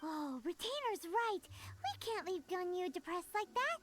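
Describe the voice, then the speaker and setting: Perky little fairy companion, game role. Extremely high childlike voice, wildly swooping delivery. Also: female voice, very animated, unhurried, fairly bright tone, heavily acted. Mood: earnest. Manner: perky, exclamatory, refers to herself by name. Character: tiny excitable floating fairy companion, childlike girl. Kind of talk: video game voice acting. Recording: game music behind the voice.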